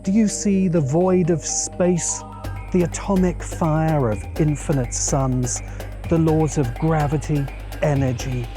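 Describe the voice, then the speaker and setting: A man's voice: on the high side, warm tone. A man hosting a science fiction channel, giving a scripted video essay narration in a dramatic, musing way with dry asides.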